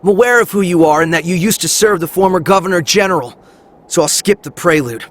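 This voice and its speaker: Earnest young cop hero, slightly high voice, firm and measured, video game drama. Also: male voice, a bit bright. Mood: stern. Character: young male police team leader, earnest and resolute. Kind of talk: anime-style video game voice acting.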